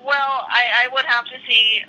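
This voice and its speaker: A woman's voice: on the high side, little pitch movement, normal speed, sharp tone. A woman on a noisy telephone line, speaking politely and apologetically as a customer service manager following procedure. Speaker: bank escalations manager. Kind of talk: customer service phone call. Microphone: far end of a phone call.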